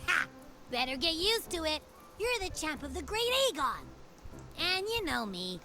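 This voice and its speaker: A woman voicing a small, gluttonous, excitable creature sidekick in a video game, expressive and playful. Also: high-pitched, measured pace, faint music behind, full character voice. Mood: excited, greedy. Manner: playful, expressive, whiny when put out.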